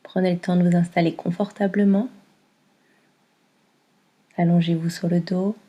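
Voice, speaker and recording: A woman guiding a yoga nidra meditation in a calm, soothing manner, with a soft, breathy delivery. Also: on the low side, moderate pace, lo-fi sound. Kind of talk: guided meditation.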